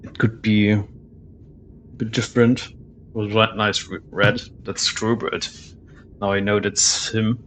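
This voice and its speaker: Lowish-voiced man doing game commentary. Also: flat delivery, a little slow, long pauses, fairly bright tone, slight background noise, low-quality recording. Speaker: let's play host. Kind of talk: casual gameplay commentary.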